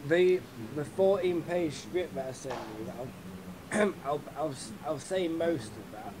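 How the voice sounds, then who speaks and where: A man with a British accent, worn out and losing his voice, chatting haltingly on a video call and often clearing his throat. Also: fairly high pitch, expressive, background noise. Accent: British English. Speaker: keynote speaker. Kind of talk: casual pre-talk chat on a video call.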